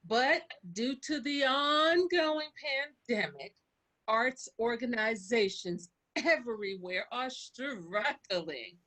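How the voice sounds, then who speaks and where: A woman's voice: a little high, sharp tone, full character voice. A woman playing a vain, coy businesswoman diva in a theatrical comic radio monologue. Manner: pompous, coy, self-adoring.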